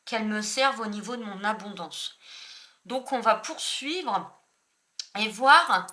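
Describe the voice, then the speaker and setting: A French woman giving a spiritual card reading in a casual, rambling way, correcting herself often and filling pauses with "euh". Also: mid-range voice, pushed and bright. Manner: rambling, self-correcting, many fillers. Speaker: spiritual tarot reader.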